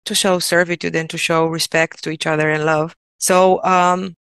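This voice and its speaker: Thoughtful low-voiced woman host. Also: little pitch movement, moderate pace, fairly bright tone, clean tone, dry recording. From Romania. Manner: thoughtful, hesitant, many fillers. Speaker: host, interviewer. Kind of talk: conversational interview.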